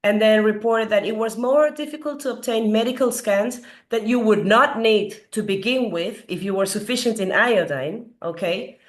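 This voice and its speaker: A middle-aged woman from Peru gives an earnest health lecture as a doctor. Her voice is slightly hoarse from a recent cold, and she asks her audience questions with frequent fillers. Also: medium pitch, normal speed, hardly any pauses. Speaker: doctor giving a health talk. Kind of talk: explanatory lecture.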